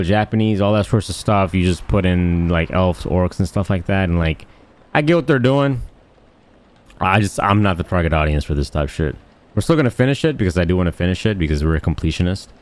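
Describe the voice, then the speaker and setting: A man with a low voice hosts a gaming video. He talks off the cuff in a blunt, slangy style, rambling on with crude turns of phrase. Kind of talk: casual, unscripted gameplay commentary.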